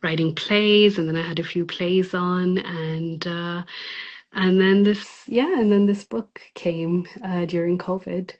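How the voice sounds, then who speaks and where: A woman's voice: on the low side, fairly even intonation, measured pace, darkish tone, highs cut off. A woman from Pakistan, a novelist, talking about her writing in a casual interview, thoughtful and hesitant with many fillers. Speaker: novelist guest.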